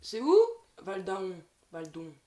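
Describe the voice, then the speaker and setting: A woman's voice: fairly low pitch, lively intonation, slow pace, darkish tone. A young woman in casual, slangy banter as a prank call co-host, often protesting. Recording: echoey room with clicks and noise.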